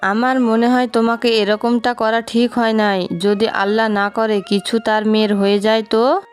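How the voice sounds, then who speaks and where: A woman narrating a scripted cartoon moral story in a clear, smooth voice, also speaking the wives' parts. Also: a little high, flat delivery, moderate pace.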